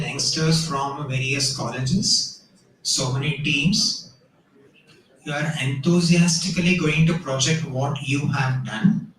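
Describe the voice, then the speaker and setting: A man, a college principal giving an earnest, measured address to students at a tech fest. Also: a little high. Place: large hall. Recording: echoey hall from far off, faint noise.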